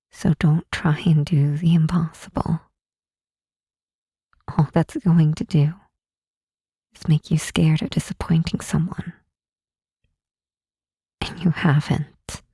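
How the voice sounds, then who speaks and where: A woman playing a gentle, reassuring Demon Queen in an ASMR comfort roleplay, speaking in a soft, husky half-whisper with slow, soothing earnestness. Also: deep voice, fairly even intonation, no echo, studio quality, heavily acted. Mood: gentle, earnest.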